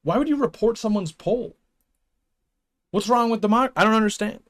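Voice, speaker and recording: A young man with a slightly higher voice streams and chats loosely with his viewers. He rambles, restarts sentences and drops in small chuckles. His talk is casual and unscripted. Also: expressive, moderate pace, a bit bright. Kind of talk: casual chat with live viewers.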